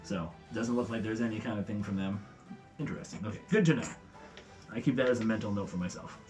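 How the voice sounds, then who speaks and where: A man chatting casually as a player in a tabletop roleplaying game, describing his actions in a halting way. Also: on the low side, darkish tone, soft background music.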